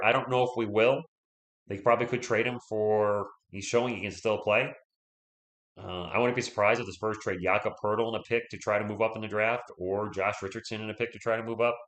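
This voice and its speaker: A man doing casual play-by-play for his team, hoarse as his voice gives out. He chats with viewers between calls. The room around him has a noticeable echo. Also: fairly low pitch, a bit bright. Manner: chatty, reads viewer comments aloud, rooting for the Spurs. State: losing his voice. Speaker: live stream play-by-play host. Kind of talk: casual fan play-by-play commentary.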